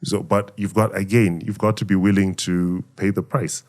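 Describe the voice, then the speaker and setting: A middle-aged man from Zambia, a voice actor giving thoughtful interview answers in a measured, reflective way. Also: sits low, flat delivery, a little mellow. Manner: measured, reflective, speaks of faith and gratitude. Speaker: voice actor and emcee, guest.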